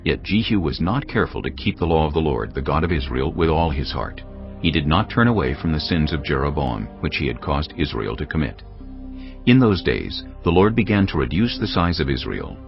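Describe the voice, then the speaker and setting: A man narrating scripture in a steady, measured reading for a dramatized audio Bible. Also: extremely low pitch, lo-fi sound, soft background music.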